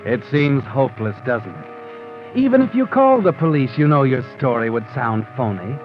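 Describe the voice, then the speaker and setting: Actor as sardonic radio mystery narrator, swooping delivery. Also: male voice, mid-range voice, very animated, moderate pace, muffled recording, natural voice. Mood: ominous, needling. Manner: dramatic second-person address, needling rhetorical questions. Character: sardonic, omniscient male narrator taunting the story's guilty heroine. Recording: vintage 1940s radio with music behind.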